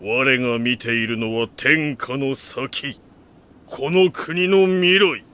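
An actor playing a proud, iron-willed warlord in an audio drama, speaking in solemn, imperious, archaic grand speech. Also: male voice, medium pitch, slow pace, a bit bright. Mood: resolute. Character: proud, iron-willed male warlord bent on remaking the nation. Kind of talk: Japanese audio drama acting.

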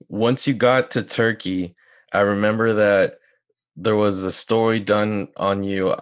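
A man's voice: on the low side, clean tone. A man in his thirties hosting a casual podcast interview over a headset, friendly and joking with his guest. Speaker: podcast host. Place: home room.

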